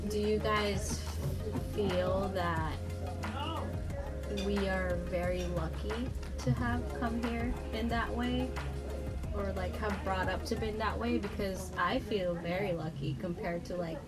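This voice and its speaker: A woman's voice: medium pitch, fairly even intonation, slow, over loud music. A young woman from the Philippines, talking with friends as a podcast co-host in a reflective, earnest way. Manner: reflective, earnest, thinks out loud. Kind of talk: casual chat.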